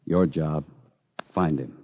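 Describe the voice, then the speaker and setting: Very deep, grave American announcer man. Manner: grave, measured. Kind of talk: solemn 1950s radio drama introduction. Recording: muffled old radio, loud brass music.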